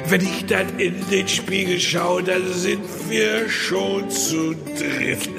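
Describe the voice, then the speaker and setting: High creaky man singing over loud guitar. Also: fairly even intonation, slow. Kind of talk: singing a festive party song.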